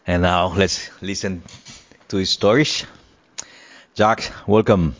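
A low-voiced man hosts an interview podcast and asks his questions haltingly, in simple phrases. The audio is muffled and lo-fi. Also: fairly even intonation. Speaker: podcast host. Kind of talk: casual interview. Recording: dull lo-fi sound.

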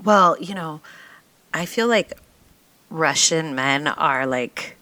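Giggly, animated woman co-host. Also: low-pitched, slow, no echo. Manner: giggly, jokey, laughs often. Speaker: podcast co-host. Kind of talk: casual podcast banter.

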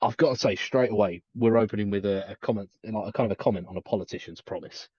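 A man's voice: fairly low pitch, fast, warm tone, breathy edge, no echo, lo-fi sound. A man co-hosting a podcast, talking casually in a rambling, hesitant way. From Wales heritage, family on the south coast. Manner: rambling, hesitant, lots of fillers and restarts. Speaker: podcast co-host. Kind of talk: casual chat.

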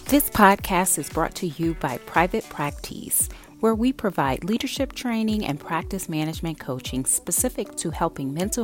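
A woman reading sponsor messages for a podcast in a smooth, even way. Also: on the low side. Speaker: sponsor announcer. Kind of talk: read sponsor ad.

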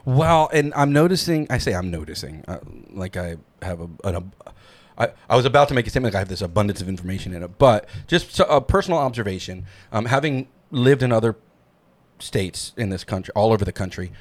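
A man's voice: lowish voice, lively intonation, fast talker. A man from New Jersey hosting a podcast, chatting eagerly and rambling through restarts. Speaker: podcast host. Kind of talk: casual podcast chat.

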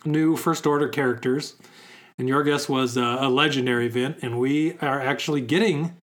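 A young man co-hosting a podcast in casual chat, slightly hoarse, laid-back and joking. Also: medium pitch, fairly even intonation, moderate pace, a bit bright. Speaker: podcast co-host.

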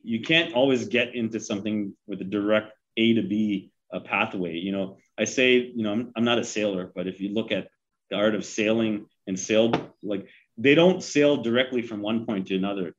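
Halting man in his forties, muffled audio. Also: on the low side. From Sri Lanka. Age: 40s. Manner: halting, many fillers and restarts. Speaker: guest. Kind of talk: casual interview conversation.

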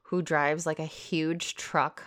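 A woman with a Wisconsin accent chats casually as a podcast co-host. Her voice sits a little low. She slips into reading listener stories aloud. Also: level tone, takes it slowly, fairly bright tone. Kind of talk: casual chat.

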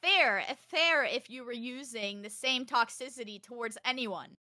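A young woman from Texas chatting on a podcast, loud and animated, telling stories fast with a close mic. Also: on the high side, dry recording. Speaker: podcast guest, streamer. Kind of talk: casual podcast chat.

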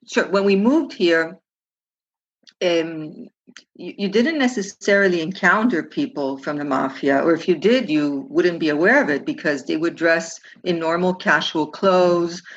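A woman from Southern California, a historian, answering interview questions in a halting way with many restarts. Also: fairly low pitch, dull audio. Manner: halting, with frequent restarts and filler words. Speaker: guest historian and guide. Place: remote, home in Palermo.

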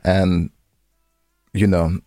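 A man's voice: low voice, little pitch movement, very slow, dark tone, no echo, clean studio sound. A man co-hosting a podcast in casual, slangy chat, repeating phrases for emphasis. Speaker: podcast co-host.

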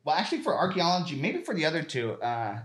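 A man with a medium-pitched voice that swings up and down a lot, hesitant and dryly funny in casual chat. It is an echoey room with people audible around him. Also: huge pitch swings, fast talker, a loud crowd. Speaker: guest sketch comedian.

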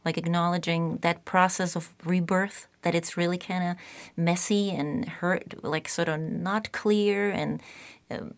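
Guest: woman, Czech accent, lowish voice, thoughtful and halting. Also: steady tone. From Czech Republic. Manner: reflective, searching for words, soft-spoken. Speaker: podcast guest, therapist. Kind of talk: casual interview chat.

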